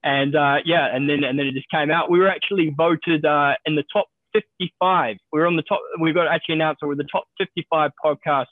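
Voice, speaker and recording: Brief: upbeat New Zealand-accented broker, a bit high-voiced, tinny phone-line sound. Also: male voice, steady tone, dry recording. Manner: quick, upbeat, repeats words when starting sentences. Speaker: guest, stockbroker. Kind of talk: casual interview talk.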